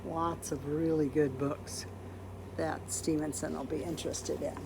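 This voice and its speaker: A woman's voice: sits low, flat delivery, unhurried, gravelly, mellow, slight background noise. A woman reading a children's picture book aloud expressively, acting out quoted words and putting questions to listeners. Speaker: storybook reader.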